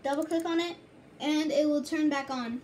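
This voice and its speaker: High pitched girly voice, woman doing a casual how-to, stumbles and restarts, noisy phone recording. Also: fairly even intonation, darkish tone. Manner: halting, repeats and corrects herself. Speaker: tutorial host. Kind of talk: casual screen tutorial, step-by-step instructions. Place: indoors at a home computer. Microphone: handheld phone or camera, filming screen. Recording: noisy handheld camera recording, clicks.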